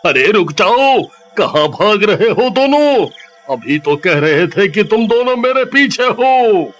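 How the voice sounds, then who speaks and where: A man's voice: high voice, big pitch swings, slow, fairly bright tone, full character voice. A man voicing a swaggering, mocking young village thug in a horror storybook tale. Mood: frightened. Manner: cocky, mocking, laughing. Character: swaggering, leering young male village thug, cowardly when threatened.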